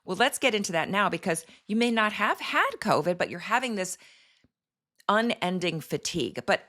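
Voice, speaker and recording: A middle-aged woman doctor with a slightly hoarse voice, hosting a casual health talk and explaining in a chatty way with fillers. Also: mid-range voice, expressive, moderate pace, sharp tone. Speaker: doctor and health show host.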